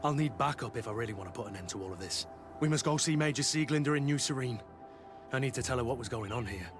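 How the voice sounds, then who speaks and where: An actor playing a weary, loyal veteran soldier in a video game, speaking in an earnest, measured way. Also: male voice, medium pitch, over music, natural voice. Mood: resolute, weary. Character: weary, loyal veteran mercenary soldier, plain-spoken and resolute. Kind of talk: video game dialogue acting.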